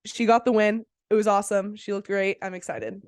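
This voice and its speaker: A woman's voice: medium pitch, steady tone, fairly quick, a little mellow, clean tone, dry recording. A woman with a slight cold co-hosting a podcast, giving casual, slangy and enthusiastic fight analysis close to the mic. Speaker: podcast co-host.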